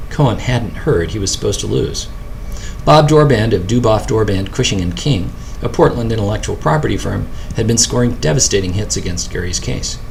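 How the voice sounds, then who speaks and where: American man reading aloud over background noise. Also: mid-range voice. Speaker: author reading own audiobook. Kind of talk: scripted narration of a first-person memoir.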